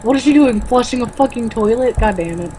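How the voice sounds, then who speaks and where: A woman's voice: fairly high pitch, brisk, noisy background. A woman reading a book aloud as a co-host, mocking it with sarcastic, exasperated comments.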